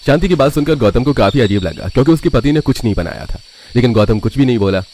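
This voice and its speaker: A male narrator with a mid-pitched voice tells a scripted cartoon family story. Some light noise sits behind the voice. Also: animated, quick pace, darkish tone.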